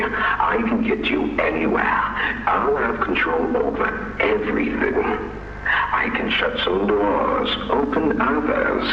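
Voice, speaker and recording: An actor playing a manipulative, smug mad doctor in a video game, coolly mocking and persuasive. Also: male voice, deep voice, roomy sound, dull audio, heavily acted. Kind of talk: dramatic video game villain acting.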